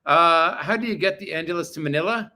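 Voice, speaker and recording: Guy in his 40s on a livestream, bright forceful voice, swearing a lot, blunt as hell. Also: on the high side, a little fast. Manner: crude, profane and blunt. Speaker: livestream host. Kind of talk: casual livestream chat reading viewer comments. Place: apartment.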